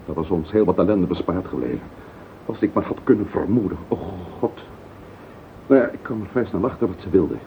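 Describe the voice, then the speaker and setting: An actor playing an earnest, protective and emotional husband in a radio drama, with a Netherlands Dutch accent. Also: male voice, deep voice, lively intonation, fairly quick. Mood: bitter, anguished. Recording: tinny, noisy old radio recording.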